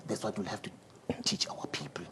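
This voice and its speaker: A man hosting a studio interview, speaking in slow, emphatic phrases and mixing in Zulu. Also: in a whisper. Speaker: talk show host.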